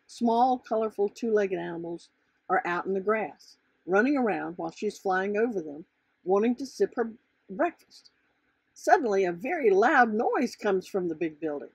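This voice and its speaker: A woman's voice: mid-range voice, darkish tone, slightly rough, no room sound, poor audio. A woman narrating a children's storybook as its author, reading from the script.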